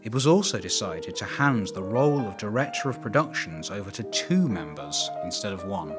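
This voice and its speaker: A man delivers scripted documentary narration in a fairly low register. His delivery is animated and varied in tune, with music clearly playing underneath. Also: a little fast.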